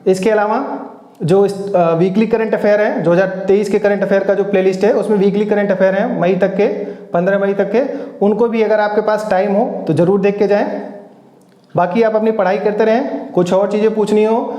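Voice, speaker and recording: A man with a high-pitched but soft-toned voice talks to exam students as their coach. He gives informal, instructive advice and repeats points for emphasis. The room around him sounds noticeably echoey. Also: fairly even intonation, fairly quick. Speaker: exam coach and channel host.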